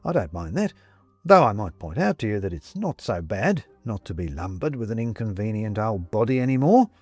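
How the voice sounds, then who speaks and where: A man voicing a jovial, persuasive ghost gentleman in an audiobook, with a British accent and clipped, chummy, old-fashioned upper-class phrasing. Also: fairly low pitch, big pitch swings, moderate pace, dry recording, faint music behind. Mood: earnest, persuasive. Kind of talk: audiobook character acting.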